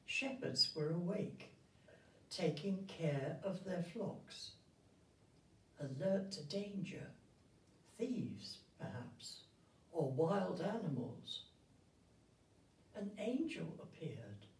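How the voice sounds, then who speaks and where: An older woman reads a children's story aloud with measured, deliberate phrasing and pauses. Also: lowish voice, big pitch swings, darkish tone. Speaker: story reader. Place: indoor room, seated before a festive backdrop. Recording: roomy, distant microphone.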